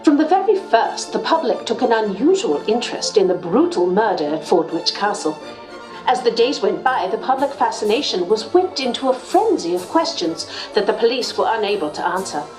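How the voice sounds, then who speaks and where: A woman's voice: mid-range voice, very animated, normal speed, natural voice, low-quality recording. A woman playing a devoted assistant who narrates a detective tale in formal radio drama storytelling. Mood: admiring, intrigued. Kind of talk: scripted first-person radio drama narration. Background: music.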